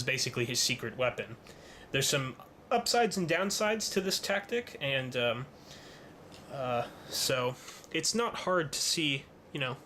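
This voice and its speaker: Male hobbyist presenter, bright forward tone, casual halting tutorial delivery, noticeable background noise. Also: medium pitch. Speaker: hobbyist presenter of a toy-building how-to.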